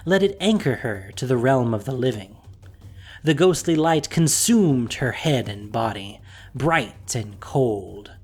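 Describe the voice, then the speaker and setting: A man narrating a fantasy audio story in a scripted, expressive storytelling manner with dramatic pauses. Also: medium pitch.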